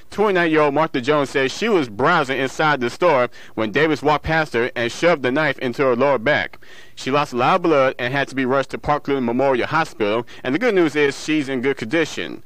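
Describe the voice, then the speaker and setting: A man's voice: medium pitch, a bit bright, dry recording, rough recording. A man working as a radio newsreader and DJ, reading in a local broadcast style with occasional slips and self-corrections. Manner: stumbles and corrects himself while reading. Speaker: radio newsreader and music show DJ. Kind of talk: local radio news, sports and weather reading. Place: radio studio.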